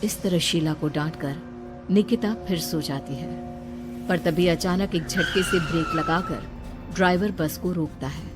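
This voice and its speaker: A woman's voice: lowish voice, a little slow. A woman reading a scripted horror story as its narrator. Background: background music.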